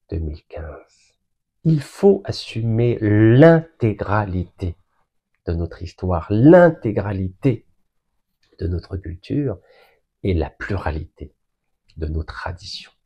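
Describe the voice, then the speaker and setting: A man from Algeria giving an emphatic, deliberate public speech that builds long lists of points. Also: low voice, gravelly, darkish tone, breathy edge. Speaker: political speaker.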